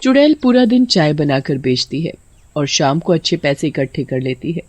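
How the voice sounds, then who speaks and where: A woman's voice: low-pitched, big pitch swings, normal speed, darkish tone, dry recording. A woman narrating a scripted story as its storyteller.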